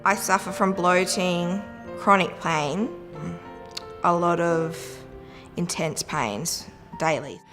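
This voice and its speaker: Young woman, very low voice, insistent, music clear behind. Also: slow. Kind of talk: personal testimony interview.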